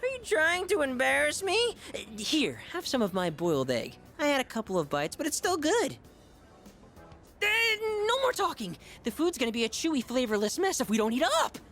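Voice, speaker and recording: Gluttonous, pompous high-school boy for a game; high, bombastic, very animated delivery. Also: moderate pace, faint music behind, exaggerated voice. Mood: bossy, boastful. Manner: bombastic, dramatic swings between squeals and low asides. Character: gluttonous, pompous, theatrical male high-school student, self-styled gourmet. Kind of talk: video game voice acting.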